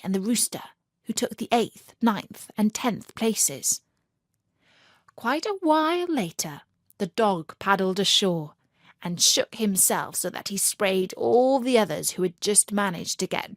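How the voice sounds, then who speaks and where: A woman narrates a children's story at an unhurried pace. Her voice sits at a medium pitch, with expressive, measured phrasing. The recording is dry and close, with very little room sound. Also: no room sound.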